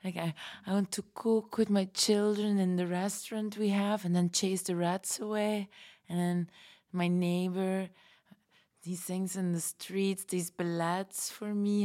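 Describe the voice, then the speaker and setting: A woman's voice: lowish voice, mellow, close to an everyday voice. A woman playing a dreamy, homesick, feisty woman in a fiction podcast, rambling wistfully with sighs. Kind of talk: fiction podcast panel interview acting.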